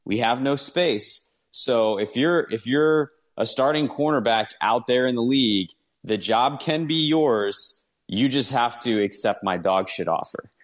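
A man's voice: medium pitch, lively intonation, a little slow, hardly any pauses. A man co-hosting a sports podcast, giving opinions casually and emphatically and repeating his points.

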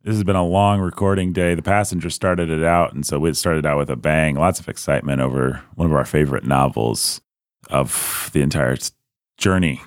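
A man co-hosting a casual podcast with a hoarse, worn-out voice, joking and rambling through his banter. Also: rumbling low, steady tone, brisk, no echo, studio quality. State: hoarse, lost voice. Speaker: podcast co-host.

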